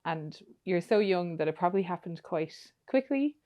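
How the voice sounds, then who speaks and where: A woman's voice: lowish voice, animated, moderate pace, highs cut off. A young woman hosting a podcast on a studio mic, chatty, asking long rambling questions full of fillers. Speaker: podcast host. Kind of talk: casual interview chat.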